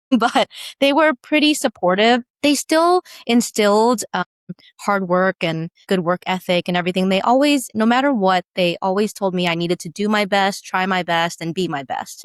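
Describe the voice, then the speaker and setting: A woman's voice: medium pitch, clean tone, no echo. Chatty woman telling her story, dry sound. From Vietnam, raised in San Diego. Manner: lively, chatty, quick to laugh. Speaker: guest, beauty brand founder. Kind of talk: casual storytelling interview.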